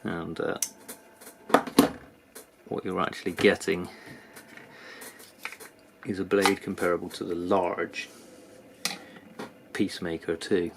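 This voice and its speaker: A man with a British accent giving a casual, unscripted product review in a halting manner. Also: low-pitched, little pitch movement, creaky, background noise. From United Kingdom. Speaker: knife reviewer. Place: indoors at a workbench.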